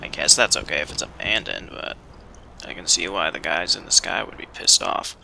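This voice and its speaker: A man's voice: low voice, moderate pace, gravelly, sharp tone. A man giving casual, rambling commentary as he records a video game let's play. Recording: faint background noise.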